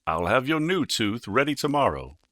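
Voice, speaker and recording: Earnest little mouse dentist, male narrator performing, raised pitch, very lively melody, firm and precise storybook delivery. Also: moderate pace. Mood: firm, businesslike.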